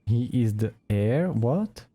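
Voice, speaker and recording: A man's voice: medium pitch, expressive, takes it slowly, mellow. A man giving casual, dry, offhand commentary while playing a video game. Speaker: gaming video player. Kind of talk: casual let's play commentary. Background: game music.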